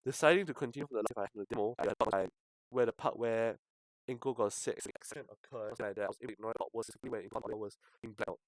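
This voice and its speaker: A man's voice: on the low side, very fast, no echo, low-quality recording. A man commentating casually on a game livestream, swearing often and grumbling in exasperation. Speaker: streamer.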